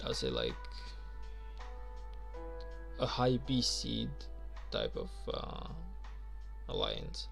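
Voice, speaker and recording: Gravelly streamer guy. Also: lowish voice, very animated, takes it slowly, long pauses, fairly bright tone, faint music behind. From Albania. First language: Albanian. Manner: chatty, filler-heavy, reads and answers viewer questions. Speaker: game streamer. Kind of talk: casual live-stream chat.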